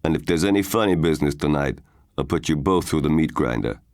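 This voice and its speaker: Man doing a very deep menacing crime boss. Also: animated, darkish tone, no echo, studio quality. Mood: threatening. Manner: slow, deliberate, threatening. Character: menacing male fight-fixing boss, smooth and commanding.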